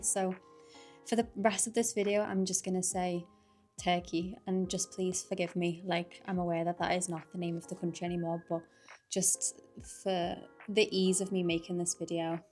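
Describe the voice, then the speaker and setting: A woman's voice: fairly low pitch, a little mellow. Chatty young Liverpool woman vlogging. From Liverpool, England. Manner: chatty, lots of "like", frequent laughs. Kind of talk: casual chat to camera.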